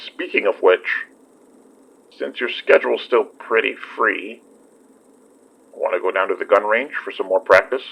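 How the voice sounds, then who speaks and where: An actor playing a relaxed, protective young boyfriend in a horror audio drama, talking in a playful, reassuring way. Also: male voice, fairly low pitch, a little slow, gravelly, pauses often, breathy edge, full character voice. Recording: slightly muffled sound.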